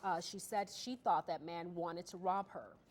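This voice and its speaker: A woman's voice: fairly low pitch, steady tone, a little slow. An American woman working as a TV news anchor, reading scripted news narration. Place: TV news studio.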